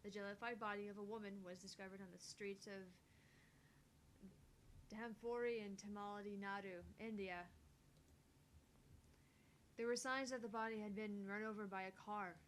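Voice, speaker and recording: A woman's voice: medium pitch, fairly even intonation, moderate pace, long pauses, slight background noise. A woman reading visual novel dialogue and scripted reports aloud. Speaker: amateur voice reader of a visual novel.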